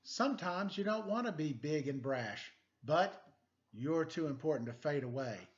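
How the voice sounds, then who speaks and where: A man's voice: a little high, lively intonation, moderate pace, lightly acted. Guy doing a private eye from a storybook, firm but kind, swoopy delivery, lo-fi sound. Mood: reassuring. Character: hard-boiled letter I private detective, firm and reassuring. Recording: dull lo-fi sound.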